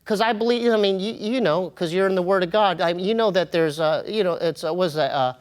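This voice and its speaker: A man telling personal stories as an interview guest, in an informal, slangy way with halting, repeated phrases. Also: high-pitched, clean tone. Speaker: interview guest, comedian and podcast host. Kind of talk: casual animated storytelling.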